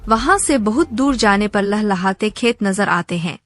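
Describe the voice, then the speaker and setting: A woman's voice: mid-range voice, lively intonation, a little slow, soft background music. A woman narrator reading a scripted animal fable for children.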